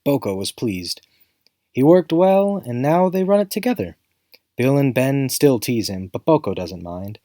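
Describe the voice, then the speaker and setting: A man's voice: mid-range voice, very animated, unhurried, warm tone, no room sound, clean studio sound. A man narrating a scripted children's story in a theatrical, emphatic storytelling manner. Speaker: storybook reader. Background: music.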